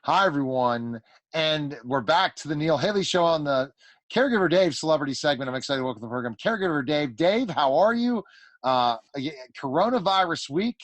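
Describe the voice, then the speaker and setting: A man's voice: fairly high pitch, lively intonation, fairly quick, a bit bright, no echo. A man hosting a radio show with casual, conversational interview banter.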